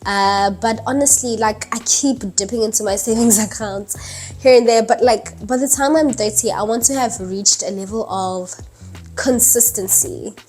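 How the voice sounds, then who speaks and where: A woman's voice: fairly high pitch, normal speed, darkish tone. Chatty young woman vlogging. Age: early 20s. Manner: chatty, many fillers like "um" and "like", laughs lightly. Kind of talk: casual talking-head vlog. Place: indoors at night, dim lighting.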